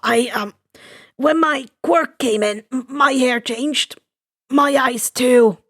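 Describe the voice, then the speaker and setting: A woman voicing a nervous, guarded young male villain who speaks haltingly in a story reading. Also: high-pitched, a bit bright, exaggerated voice. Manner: halting, unsure.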